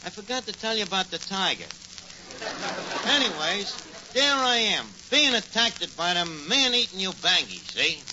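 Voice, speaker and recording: Bumbling boastful tavern manager, New York accent, highish animated delivery, muffled 1940s radio sound. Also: male voice, normal speed. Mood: blustering, excited. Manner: blustering, malapropism-prone, mangled grammar. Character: boastful bumbling tavern manager, a tall-tale-spinning phony. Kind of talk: 1940s radio comedy acting. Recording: noisy muffled old radio recording.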